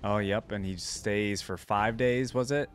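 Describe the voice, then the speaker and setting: A man's voice: on the low side, steady tone, a little slow. A man hosting a reaction video with casual, slangy running commentary. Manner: informal running asides, slangy. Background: music from the watched video.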